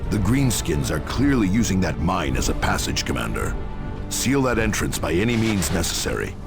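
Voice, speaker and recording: An actor playing a stern, battle-hardened space marine captain in a video game, solemn and commanding. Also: male voice, low-pitched, sing-song, moderate pace, creaky, exaggerated voice. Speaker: military commander. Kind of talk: dramatic video game acting. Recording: loud music and battle effects behind.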